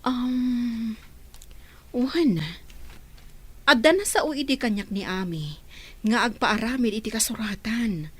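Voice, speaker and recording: A woman's voice: a little high, lively intonation, slow, long pauses, a bit bright, breathy edge, heavily acted. A woman playing a concerned, caring guardian figure in an Ilocano radio drama. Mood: worried. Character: concerned, caring woman looking after orphaned children.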